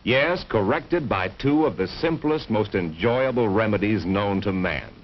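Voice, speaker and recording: A man's voice: fairly low pitch, expressive, a little slow. A man narrating a vintage 1950s educational film in a scripted, dramatic storytelling manner with rhetorical emphasis. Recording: muffled, hissy old film soundtrack.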